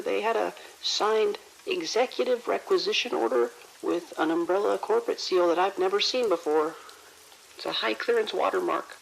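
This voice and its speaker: Actor as a frightened, creaky-voiced male pharmacy worker. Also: mid-range voice, poor audio. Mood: fearful. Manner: halting, stammering, hushed. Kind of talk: audio drama acting.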